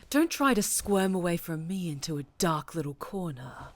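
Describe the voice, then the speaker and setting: A woman playing an obsessive, domineering superhero captor in a dramatic ASMR roleplay, speaking with volatile, condescending menace. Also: on the low side. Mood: cold menace. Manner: slow, menacing, condescending, breaking into whispers and shouts.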